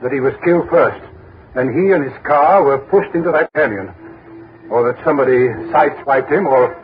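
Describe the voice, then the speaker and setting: A man's voice: medium pitch, lightly acted. Actor as anxious businessman, muffled old radio. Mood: insistent, agitated. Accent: American. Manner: halting, emphatic, repeats himself. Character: anxious, insistent businessman convinced of foul play. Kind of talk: 1960s radio drama acting. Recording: muffled, hissy old 1960s radio recording.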